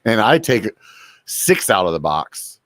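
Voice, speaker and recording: A man's voice: on the low side, big pitch swings, slow, fairly bright tone, no echo. A man in his forties, hosting a casual podcast chat, joking and acting out his own food cravings. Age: 40s. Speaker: podcast host.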